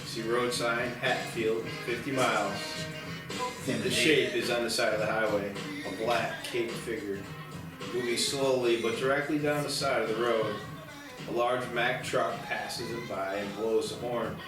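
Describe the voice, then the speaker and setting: In a very echoey room with music behind, a man narrates screenplay stage directions. His voice is mid-pitched and sits back from the mic. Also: steady tone, measured pace, distant mic. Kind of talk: scripted narration at a table read.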